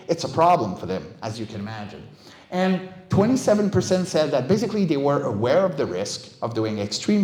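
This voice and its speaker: A man's voice: on the high side, darkish tone. A middle-aged man, a native French speaker, giving an informal talk as a guest speaker and voice coach. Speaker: guest speaker, voice director and vocal stunt coach. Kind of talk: casual conference talk. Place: indoor game developer meetup venue. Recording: roomy, echoing event space.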